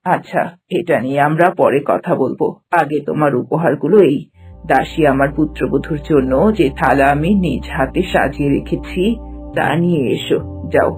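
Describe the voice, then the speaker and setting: A man voicing a kind, dignified middle-aged queen mother in a cartoon, with a gentle, measured manner. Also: fairly high pitch, faint music behind, natural voice. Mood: gentle, admonishing. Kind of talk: cartoon voice acting.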